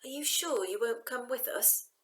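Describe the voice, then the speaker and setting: A woman's voice: a little high, moderate pace, a bit bright, voice pushed up, close to an everyday voice. A woman voicing a practical, daring thirteen-year-old girl with an insistent, snippy manner in a storybook. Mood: pleading.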